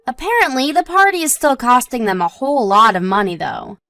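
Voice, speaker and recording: Woman acting a high-voiced, lively young wife. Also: a little fast. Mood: chatty, even-tempered. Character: supportive, modest young wife chatting with her husband. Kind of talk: scripted dialogue for an online story drama.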